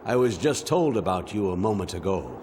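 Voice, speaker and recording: Calm, lowish-voiced leader. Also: male voice, slight background noise. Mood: calm, apologetic. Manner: formal, measured. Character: calm, courteous man of authority. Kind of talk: dramatic video game voice acting.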